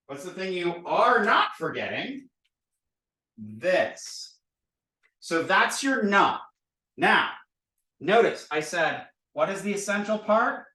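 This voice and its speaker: A man teaching at a board, animated and drilling sounds by repetition. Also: sits high, roomy sound, recorded from a distance, poor audio. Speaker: language teacher. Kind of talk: recorded board lesson. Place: indoor room with a board.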